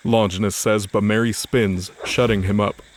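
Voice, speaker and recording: A man's voice: fairly low pitch, fairly even intonation, normal speed. A man giving scripted narration for an audio drama.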